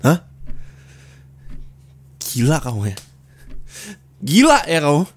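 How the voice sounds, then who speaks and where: Man acting as young husband with very animated voice. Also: a little high, takes it slowly, breathy edge, heavily acted. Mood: furious. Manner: intimate, colloquial, turning from soft to scolding. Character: young husband, affectionate then angrily scolding his wife. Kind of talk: Indonesian ASMR roleplay acting, addressed to the listener. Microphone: close studio microphone.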